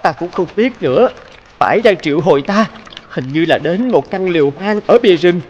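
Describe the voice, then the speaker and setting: A man's voice: high-pitched, expressive, normal speed, darkish tone, highs cut off, slight background noise. A man voicing a devoted young fairy-tale prince in a cartoon, earnest and tender. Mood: worried, tender.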